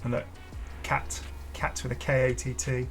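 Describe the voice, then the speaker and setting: A man's voice: medium pitch, level tone, moderate pace, a bit bright, soft background music. A man giving casual, off-the-cuff video commentary as a gaming host, rambling and hesitant with apologetic asides.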